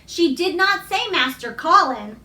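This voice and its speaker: A woman reading a children's novel aloud as expressive storybook narration. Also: very high voice, a little slow. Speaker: storybook reader. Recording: roomy echo, distant microphone.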